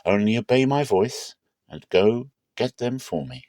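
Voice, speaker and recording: A man's voice: on the low side, lively intonation, unhurried, darkish tone, no echo, voice pushed up. Man voicing a firm, scheming mother. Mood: insistent.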